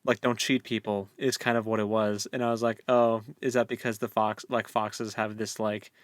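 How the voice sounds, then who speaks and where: A man's voice: fairly low pitch, level tone, moderate pace, dry recording, studio quality. A man in his late twenties with an American accent, co-hosting a casual book discussion in a jokey way, with pop culture asides. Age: late 20s. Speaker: podcast co-host. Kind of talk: casual book club chat.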